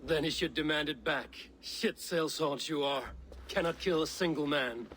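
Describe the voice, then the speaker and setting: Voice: mid-range voice, normal speed, fairly bright tone, a little hiss or hum, natural voice. A man in a video game playing a blunt, commanding Viking warrior with a stern, scornful manner. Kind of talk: video game cutscene acting.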